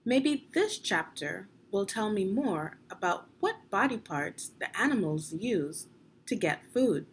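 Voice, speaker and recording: A woman narrating an instructional children's read-along as a teacher, phrasing deliberately and guiding young listeners through the text. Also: mid-range voice, very animated, slight background noise. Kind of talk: instructional read-aloud of a children's nonfiction book.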